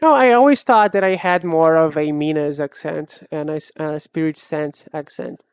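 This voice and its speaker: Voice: mid-range voice, normal speed, clear, resonant tone, no echo. A streamer from Brazil who speaks with a Brazilian accent and has Portuguese as a first language. They chat casually with a live chat, musing and hesitant, often restarting their sentences. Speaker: art streamer.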